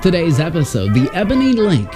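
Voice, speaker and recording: A man's voice: on the high side, huge pitch swings, brisk. A man, reading a scripted introduction as a show presenter. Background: music.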